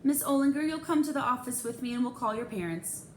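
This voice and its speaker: A woman's voice: sits high, lively intonation, fast talker, voice pushed up. A woman voicing a stern, commanding female school principal in a storybook, firm, formal and emphatic. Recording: echoey room, faint background noise.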